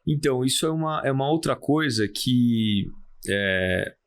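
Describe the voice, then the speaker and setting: Calm Brazilian man, halting speech. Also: medium pitch. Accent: Brazilian Portuguese. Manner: calm, halting, many restarts and "né?" tags. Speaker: podcast guest, entrepreneur. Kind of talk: casual interview talk.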